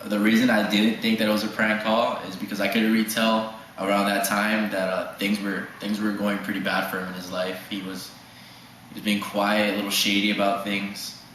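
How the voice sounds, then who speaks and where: An eighteen-year-old man giving a halting, stammering mock documentary testimony. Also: on the low side, little pitch movement, a bit bright. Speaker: student interviewee. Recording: echoey room with faint noise.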